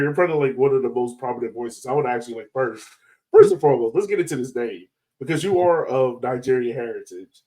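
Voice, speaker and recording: Male podcast host, mid-pitched, informal and slangy, chatting conversationally with a guest. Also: darkish tone, low-quality recording. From Jamaican heritage. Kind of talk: casual interview chat.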